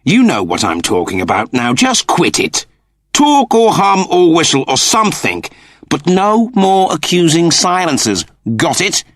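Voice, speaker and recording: A prickly, self-pitying man, acted out by a male narrator, sardonic and impatient. His voice is raised in pitch and swings with irritation, set in a dry audiobook recording. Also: huge pitch swings, unhurried, fairly bright tone, dry recording, full character voice. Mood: irritated.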